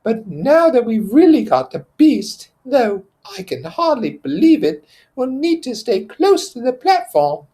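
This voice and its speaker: Man voicing an insistent, high-pitched schoolboy, Piggy. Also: very animated, measured pace, warm tone, full character voice. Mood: indignant. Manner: ungrammatical, insistent speech. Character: Piggy, indignant, eagerly organizing schoolboy. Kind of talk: character voice in novel read-aloud.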